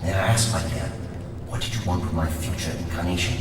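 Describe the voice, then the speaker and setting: An older man playing a haughty, sardonic time-traveling gentleman with old-fashioned courtesy in an audio drama. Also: low voice, fast, a little hiss or hum, natural voice. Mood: probing, haughty.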